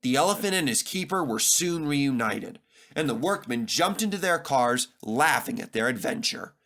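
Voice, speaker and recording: A man reading a children's story aloud as narrator, in an expressive, dramatic, scripted style. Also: medium pitch, moderate pace.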